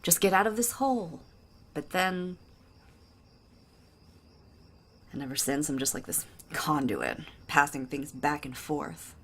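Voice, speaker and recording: A woman's voice: deep voice, expressive, moderate pace. An actress playing a wry, guilt-burdened woman in an audio drama, with a conversational, probing delivery. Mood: burdened, regretful. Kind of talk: naturalistic audio drama acting.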